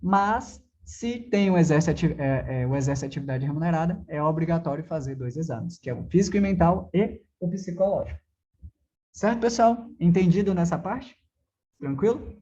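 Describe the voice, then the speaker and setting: A man's voice: fairly high pitch, lively intonation, moderate pace, dark tone, muffled recording. A Brazilian man giving a conversational classroom lecture as a driving theory teacher, didactic, posing questions and answering them himself with frequent check-in tags.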